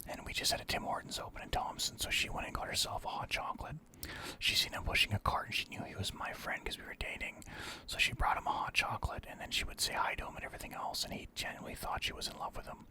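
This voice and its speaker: A man telling a personal story casually in a breathy whisper, animatedly acting out both sides of conversations. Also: quick pace. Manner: animated retelling with quoted dialogue, swearing freely.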